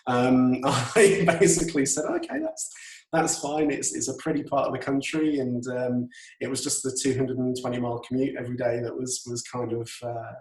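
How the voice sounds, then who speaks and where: A man's voice: medium pitch, moderate pace, darkish tone. A middle-aged man from Yorkshire with an English accent, chatting as an interview guest in a hesitant, thoughtful way. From Yorkshire, England. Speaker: podcast guest, photographer. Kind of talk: casual interview chat. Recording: roomy echo, distant from mic.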